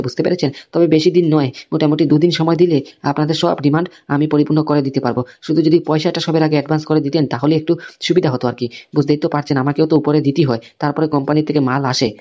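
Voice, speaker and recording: A man's voice: on the high side, warm tone, natural voice. A man playing a placating goods supplier in a comic cartoon, full of reassuring, repetitive salesman's patter. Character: smooth-talking, placating male goods supplier.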